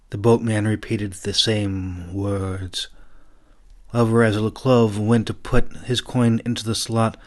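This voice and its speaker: A man reading scripted fantasy narration as the author of an audiobook. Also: fairly low pitch.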